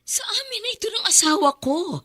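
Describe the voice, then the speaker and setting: A woman's voice: very high voice, sing-song, a little fast, pushed and bright, exaggerated voice. A woman playing an anxious, grieving widowed mother with a Filipino accent, plaintive and melodramatic in an audio drama. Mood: aggrieved pleading. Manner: tearful, plaintive. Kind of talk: melodramatic radio drama acting.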